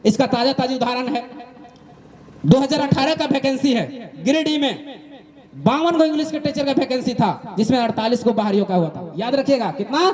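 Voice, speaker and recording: A man's voice: extremely high pitch, lively intonation, a little fast, a little mellow. A man with a Jharkhandi accent giving an emphatic political explainer speech, repeating points and posing rhetorical questions. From Jharkhand, eastern India. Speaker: activist speaker.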